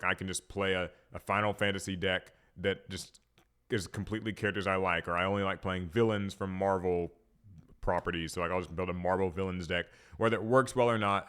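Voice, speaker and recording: A man's voice: sits low, fairly bright tone, clean tone. A young man hosting a card game podcast, talking casually on his own, a bit stuffy from a cold, rambling with lots of fillers and restarts. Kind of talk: casual solo talk to camera.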